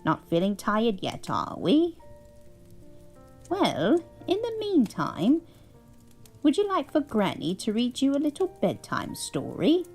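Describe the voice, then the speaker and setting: A prim, chuckling English grandmother, as a woman puts her on, settles in to read a bedtime storybook. She speaks slowly, with a lilting, swooping tone. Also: a little high, wildly swooping pitch, soft background music, heavily acted. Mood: doting. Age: older. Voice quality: sing-song, gently laughing, prim.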